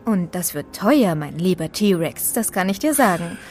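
An actress playing a feisty, sharp-tongued young woman in an audio drama, sassy and commanding. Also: fairly low pitch, animated, measured pace, soft background music. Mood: bossy, teasing.

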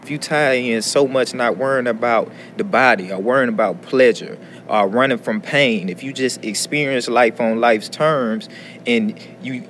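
A man's voice: mid-range voice, fairly bright tone, a little hiss or hum. A man, a student, talking earnestly and reflectively, repeating phrases as he philosophizes. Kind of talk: reflective interview talk.

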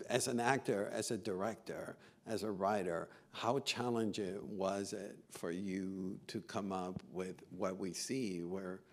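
A man moderating a festival Q&A, asking halting, searching questions into a handheld mic. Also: on the low side. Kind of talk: interview questions. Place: festival stage in front of a backdrop.

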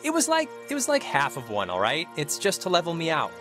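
A young American man playing a goofy, excitable, rambling teenage stoner in a video game. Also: a little high, a little fast. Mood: defensive. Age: young adult. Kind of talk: naturalistic video game dialogue. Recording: music behind the voice.

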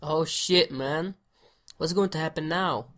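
Man with a cold, hoarse. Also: on the high side, a bit bright. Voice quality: slightly hoarse. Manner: halting, repeats words when unsure. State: has a cold. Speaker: gameplay commentator. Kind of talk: casual let's play commentary.

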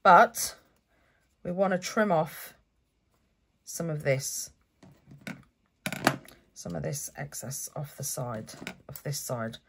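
A woman talks through a craft project at her desk in a relaxed, chatty way. Her voice sits a little low and sounds stuffy from a cold, and she often corrects herself mid-sentence. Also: slow, long pauses, background noise. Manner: chatty, self-correcting step-by-step explaining. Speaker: craft channel host. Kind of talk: relaxed craft tutorial narration. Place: home craft desk, indoors.

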